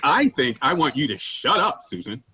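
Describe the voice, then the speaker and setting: A man's voice: medium pitch, sing-song, normal speed, sharp tone, slight background noise. A man acting an overbearing, self-important general manager in an argumentative way, in a staged play reading. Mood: indignant. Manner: argumentative, pompous.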